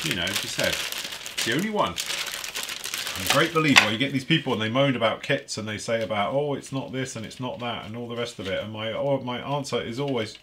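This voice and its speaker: A man's voice: medium pitch, heavy background noise. Congested man reviewing a model kit. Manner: chatty, thinking aloud while handling parts. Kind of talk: casual hands-on kit review. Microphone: overhead camera on a workbench, voice off-screen.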